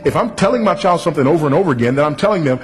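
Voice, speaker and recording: A man preaching a sermon in a firm, measured, didactic way. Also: on the high side, lively intonation, faint music behind, low-quality recording.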